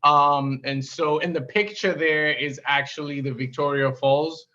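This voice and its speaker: A man's voice: a little high, fairly even intonation, a little slow, bright, forceful tone. A man from Zambia, a guest golfer, talking in a friendly, enthusiastic way. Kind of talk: casual interview chat. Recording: lo-fi stream audio.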